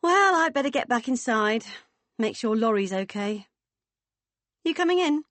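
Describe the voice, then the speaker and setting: A woman voicing a hearty, jolly middle-aged woman in an audiobook, with a booming, brisk manner. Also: lively intonation, a bit bright, dry recording. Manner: booming, brisk, jolly posh turns of phrase.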